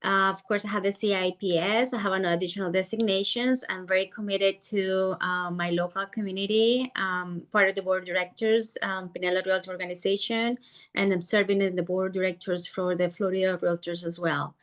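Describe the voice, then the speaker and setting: Lady, slow intro with lots of ums, video call. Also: mid-range voice, fairly even intonation. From Colombia. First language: Spanish. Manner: slow, hesitant self-introduction with fillers. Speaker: real estate agent.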